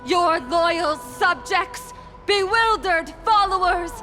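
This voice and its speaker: A woman's voice: very high voice, slow pace, bright, forceful tone, faint music behind, full character voice. An actress playing a girlish, grand herald, declaiming ceremoniously as if before a god. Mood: solemn awe. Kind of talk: theatrical proclamation.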